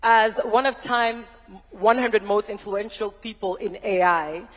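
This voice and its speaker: A panel moderator who introduces speakers, hypes the crowd, then asks long, formal questions. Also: mid-range voice. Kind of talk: event hosting and panel questions. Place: large university hall, on stage. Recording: reverberant large hall.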